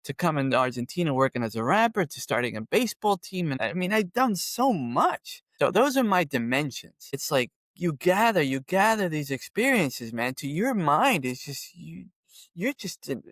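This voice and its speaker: Animated Philly man in his fifties. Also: fairly high pitch, moderate pace, no echo, clean studio sound. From Philadelphia, USA. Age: 50s. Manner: animated, rambling, peppered with "you know". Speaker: podcast guest. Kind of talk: casual conversational storytelling.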